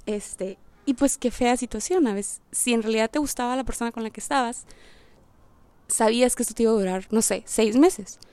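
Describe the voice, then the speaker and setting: A woman with a fairly high, soft-edged and mellow voice talks casually as a podcast host. She rambles through her thoughts in slangy, informal speech. The sound is close and dry, with very little room around it. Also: brisk, no echo. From Puerto Rico. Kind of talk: casual monologue, reflective chat.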